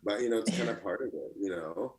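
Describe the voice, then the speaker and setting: Male contestant, 30s, from Lebanon. Lowish, relaxed, rambling. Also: quick pace. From Lebanon, raised in the US. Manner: relaxed, rambling with many fillers. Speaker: artist contestant. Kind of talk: casual chat.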